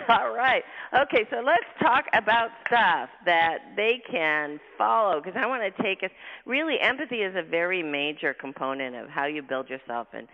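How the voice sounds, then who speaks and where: A woman with a husky, gravelly voice hosts a radio interview, talking fast and effusively and sounding travel-tired. She comes through a tinny phone line with a long reverb tail. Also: medium pitch, expressive. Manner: fast, effusive talker, laughs often. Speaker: radio show host. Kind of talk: casual radio interview chat.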